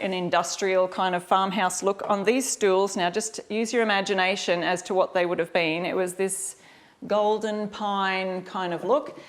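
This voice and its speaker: A woman with an Australian accent giving a casual live craft tutorial, chatting informally and directly to her viewers. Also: mid-range voice. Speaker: host of a live craft demonstration. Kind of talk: casual livestream tutorial chat.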